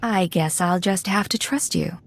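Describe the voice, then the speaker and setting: A woman's voice: fairly low pitch, measured pace, gravelly. An actress playing a cool, self-assured female undercover agent in a video game, composed, guarded and dryly confident. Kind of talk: video game dialogue acting.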